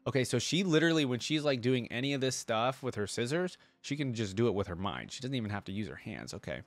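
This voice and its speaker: A man hosting a reaction video, reading aloud and commenting informally as he thinks out loud for viewers. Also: medium pitch, expressive, fast, no room sound.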